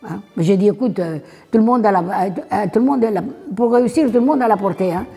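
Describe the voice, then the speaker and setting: A woman's voice: medium pitch, quick pace, dark tone, slightly rough, long reverb tail, faint music behind. An older woman born in Italy, interviewed for a documentary, plain-spoken and often adding "hein". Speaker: interviewee, immigrant.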